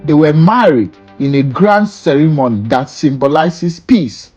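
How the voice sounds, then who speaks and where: Folktale narrator, unhurried, animated pitch, dramatic emphasis, mid-pitched voice. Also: dull audio, faint music behind. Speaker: storyteller.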